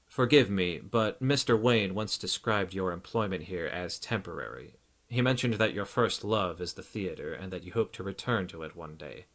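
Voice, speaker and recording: A man voicing a stout psychiatrist in an audiobook, grave and solicitous, with an earnest, deliberate, clinical manner. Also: low voice, expressive, fairly bright tone. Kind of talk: audiobook character acting.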